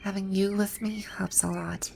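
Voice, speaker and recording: A woman playing a proud, teasing video game villainess come to life, speaking softly in an intimate ASMR roleplay. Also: mid-range voice, steady tone, normal speed, slight background noise. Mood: shy, vulnerable. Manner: smug, teasing, soft-spoken with breathy asides. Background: birdsong and outdoor ambience sound effects.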